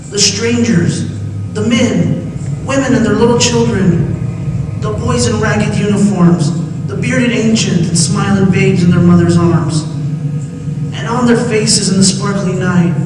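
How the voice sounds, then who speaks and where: A high, theatrical male voice rings through a long reverb over noisy sound. a condemned soul in old-time radio theatre, anguished and florid in his declaiming among ghosts. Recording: very echoey, distant, noisy radio play.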